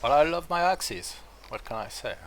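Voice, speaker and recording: A man's voice: on the high side, expressive, normal speed, a little hiss or hum. A French man streaming a strategy game, chatting in English with a French accent, rambling and full of hesitations. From France. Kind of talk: casual chat while playing.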